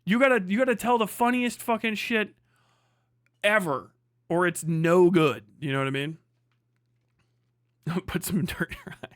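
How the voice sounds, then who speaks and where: A man's voice: high-pitched, normal speed, long pauses, no room sound, clean studio sound. A man giving casual, comedic livestream commentary, profane and emphatic, often repeating phrases for emphasis. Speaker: livestream host.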